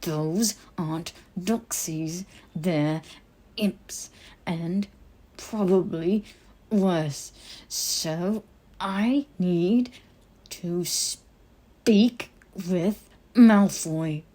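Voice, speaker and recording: A woman's voice: on the low side, extremely slow, pauses often. A woman voicing a hot-tempered young male wizard official in a fantasy audiobook, brash, blunt and foul-mouthed. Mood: angry, threatening. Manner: brash, blunt, slangy, loud. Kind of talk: audiobook character voice in a fantasy story.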